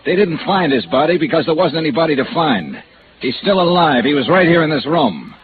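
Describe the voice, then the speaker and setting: An American actor playing a cool, wry insurance investigator in 1950s radio noir, clipped and sardonic. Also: male voice, fairly high pitch, very animated, fairly quick, noisy background. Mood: hard, accusing. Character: hard-boiled male insurance investigator, probing, cool, wry. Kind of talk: 1950s radio noir acting, with first-person narration. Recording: dull vintage radio recording, music behind.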